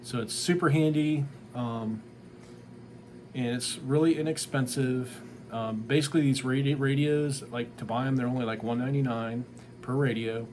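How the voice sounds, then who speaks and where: A man's voice: mid-range voice, steady tone, normal speed, a little mellow. Man casually reviewing gear over background noise. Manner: plain-spoken, informal, repeats "basically". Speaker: tree service business owner reviewing a product. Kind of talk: casual unscripted product review. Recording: clear background ambient noise.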